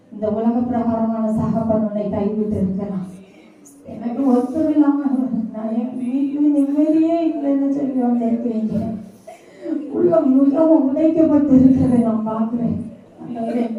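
Woman preaching in an echoey hall. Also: fairly high pitch. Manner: emotional, repetitive, lilting half-sung delivery. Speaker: preacher giving prophetic words. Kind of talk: prophetic prayer ministry. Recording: far-off echoey muffled hall recording.

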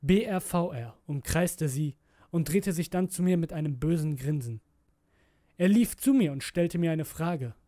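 A man's voice: fairly high pitch, animated, a little slow, warm tone, dry recording, clean studio sound. A man narrating a scripted horror story in a brooding, theatrical manner. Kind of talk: dramatic scripted narration of a creepypasta.

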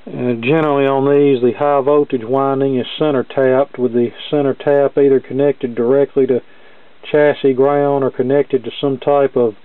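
A man talking through a hands-on repair step by step in a plain-spoken, explanatory and measured way. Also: medium pitch, clean tone, no echo. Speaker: hobbyist radio repair demonstrator. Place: indoor cluttered electronics workbench. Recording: narrow-band, dull phone-like sound.

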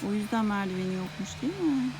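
A woman's voice: medium pitch, expressive, fast. A woman chatting casually with a child outdoors in a park, explaining things and asking simple guiding questions. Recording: very noisy on-location recording with outdoor ambience.

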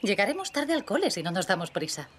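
A woman's voice: mid-range voice, very animated, fast, a bit bright, faint music behind. A woman voicing an affectionate young mother in a children's cartoon, dubbed in Castilian Spanish. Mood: affectionate, playful.

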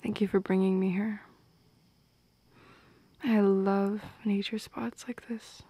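Slow, soft-spoken shy goth girlfriend, voiced by a woman. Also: medium pitch, little pitch movement, no echo. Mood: dreamy, hushed. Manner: soft, breathy, often whispering, giggly, lingering pauses. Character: shy, teasing goth girl confessing love, affectionate and playful. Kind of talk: ASMR romantic roleplay, intimate and slow.

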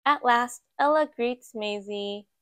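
A woman reads a children's picture book aloud at an unhurried pace, gentle and expressive. Her voice sits a little high, and the recording is close and dry with no room sound. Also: no room sound. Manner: slow, gentle and expressive, lifting for quoted lines. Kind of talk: read-aloud storytime narration. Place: indoors at a table, book filmed from above.

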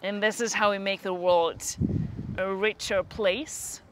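A woman leading a guided meditation, giving unhurried instructions in short phrases with long pauses between them. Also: medium pitch, fairly even intonation, slight background noise, low-quality recording.

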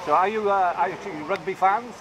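Chatty man interviewing on a loud street. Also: high-pitched, lo-fi sound. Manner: chatty, encouraging, quick questions. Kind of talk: vox pop interviews. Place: busy city square outdoors. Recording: loud outdoor noise.

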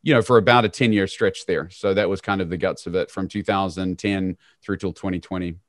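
A man, a guest telling his story in a relaxed, conversational way with frequent fillers, on a video call from a small home office. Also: deep voice, a little fast, no room sound, studio quality. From New Zealand. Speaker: guest, real estate CEO. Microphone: studio mic on boom arm, video call.

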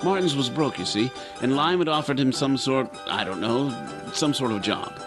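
An actor playing a worldly, chatty narrator in a radio drama, wry, rambling and conversational. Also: male voice, medium pitch, expressive, normal speed, natural voice. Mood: wry, casual. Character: worldly, chatty British narrator of post-war Vienna. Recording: music playing under the voice.

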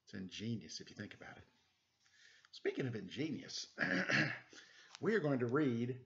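Guy in his 40s doing story time, voice swings up and down, bit of fry, sound is kinda dull. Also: medium pitch, unhurried. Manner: animated, playful, expressive. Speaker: storyteller reading a picture book to children. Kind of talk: casual chat and read-aloud story time. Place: living room at home.

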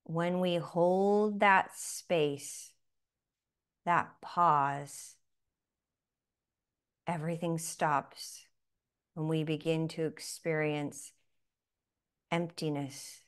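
A woman guiding a breathing meditation, speaking softly with long pauses between phrases. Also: sits low, steady tone, clean tone. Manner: slow, soft-spoken, with long pauses. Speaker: meditation guide.

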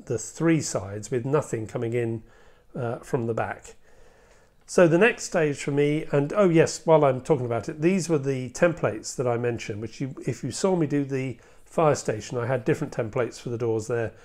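A man's voice: medium pitch, moderate pace, darkish tone. A man in his sixties giving a casual, hands-on hobby walkthrough, explaining step by step with some hesitation. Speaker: hobby video presenter. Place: indoor hobby room at a workbench.

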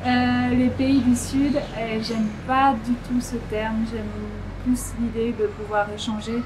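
A woman's voice: on the high side, flat delivery, takes it slowly, background noise. A woman in her thirties, a volunteer, speaking earnestly and thoughtfully outdoors with some hesitation. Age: 30s. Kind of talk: casual testimonial.

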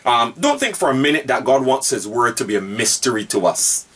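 Earnest preacher man, husky and distant. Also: medium pitch, sing-song, a bit bright. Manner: deliberate, repeats key phrases for emphasis. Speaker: pastor teaching Bible study. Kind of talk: earnest Bible study teaching. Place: room with bookshelves, indoors.

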